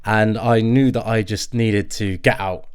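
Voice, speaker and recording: A man acting as an anxious, worn-out young dad in a naturalistic audio play. His voice is low and slow, and he talks haltingly, with nervous little laughs and throat clearing. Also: fairly even intonation, close to an everyday voice. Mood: weary, exasperated. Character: weary, anxious new father in therapy.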